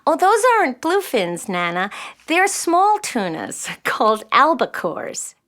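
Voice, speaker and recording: A spirited nine-year-old girl in a children's audio drama. Her voice is high and swings widely in pitch, with slow, careful delivery. She is eager, earnest and chatty, fond of explaining facts. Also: sing-song, a bit bright, faint music behind, exaggerated voice. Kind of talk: children's audio play acting.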